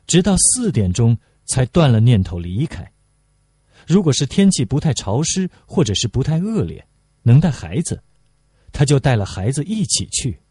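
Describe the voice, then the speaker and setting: Mellow, husky male narrator. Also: mid-range voice, no echo. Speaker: audiobook narrator. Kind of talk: scripted narration, novel reading.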